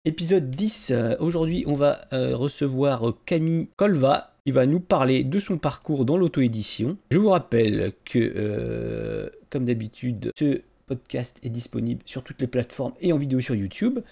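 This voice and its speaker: A man's voice: medium pitch, moderate pace, creaky, few pauses, dark tone. A man hosting a podcast in a casual, colloquial way. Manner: informal, colloquial. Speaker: podcast host.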